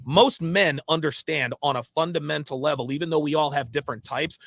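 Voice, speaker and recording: A man's voice: fairly high pitch, fairly quick, gravelly, a bit bright, no echo. A man hosting a livestream interview, talking in an opinionated, emphatic way and repeating phrases for stress. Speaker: host of a livestream interview show. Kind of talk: opinionated livestream talk, putting questions to a guest.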